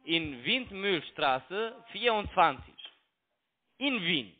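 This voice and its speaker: A man with a slightly high voice speaks in broken German, his first language being Italian. He presses and mocks the person on the other end. The sound is thin and tinny, as over a phone line. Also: very animated, slow pace. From Italy. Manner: broken German, pushy and mocking. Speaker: call center trading broker. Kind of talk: phone call.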